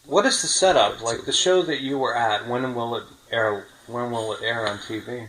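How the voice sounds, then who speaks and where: A man's voice: medium pitch, normal speed, fairly bright tone, low-quality recording. A man from New York City hosting a podcast, chatting casually with a friend as he asks questions. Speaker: podcast host interviewing a friend. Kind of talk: casual chat.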